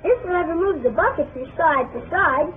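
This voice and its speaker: A woman voicing a vintage children's toy commercial in an excitable, exclamatory way. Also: very high-pitched, moderate pace, a little hiss or hum. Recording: tinny band-limited old broadcast.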